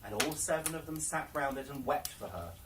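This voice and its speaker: A man narrates a fairy tale with theatrical, carefully measured phrasing and a medium pitch. The recording is noisy and sounds slightly distant from the microphone. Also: animated. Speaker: library storyteller. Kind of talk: dramatic fairy-tale read-aloud.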